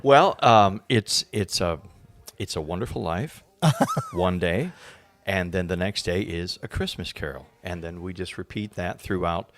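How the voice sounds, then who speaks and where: A man's voice: deep voice, wildly swooping pitch, measured pace, noisy background. A man speaking as an actor guest in a casual podcast interview. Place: indoors at a small theatre.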